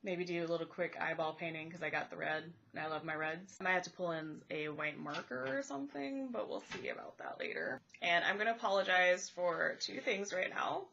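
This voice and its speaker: A woman with a congested, slightly raspy voice talks through her art supplies as she draws, thinking out loud. Her voice sits a little low. The home recording sounds dull and muffled, with a faint hiss under it. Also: expressive, a little fast, few pauses. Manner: chatty, thinking aloud while drawing. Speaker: art vlogger. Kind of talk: casual unboxing and art supply review. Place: home, indoors at a table. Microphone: camera overhead pointed at the paper. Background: furnace and cat noises.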